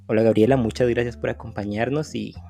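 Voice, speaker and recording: A man co-hosting a podcast interview, friendly and casual, with some halting restarts. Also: mid-range voice, fairly even intonation, gravelly, dark tone. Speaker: podcast co-host.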